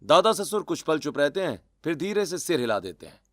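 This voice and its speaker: High-pitched male story narrator. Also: very animated, brisk, faint music behind. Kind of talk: scripted cartoon story narration.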